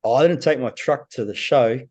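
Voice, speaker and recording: A man's voice: mid-range voice, a little fast, darkish tone, highs cut off. A middle-aged man with a New Zealand accent, a guest giving short, laid-back answers with dry humour in a casual interview. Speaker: guest log truck driver.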